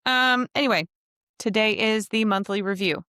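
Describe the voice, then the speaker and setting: A woman's voice: medium pitch, moderate pace, bright, forceful tone, clean tone, no echo. A woman hosting a casual solo podcast, chatty and joking with frequent laughs, speaking close to the mic. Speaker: podcast host and travel agency owner.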